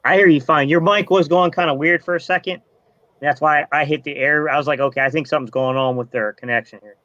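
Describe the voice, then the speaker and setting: A tired, easygoing man co-hosting a live sports podcast and laughing often. His voice sits slightly high for a man. It comes through dull and muffled over dry call audio. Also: fairly even intonation, fast talker, sharp tone, no echo. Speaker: podcast co-host. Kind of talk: casual live-stream chat. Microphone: computer mic on a live video call.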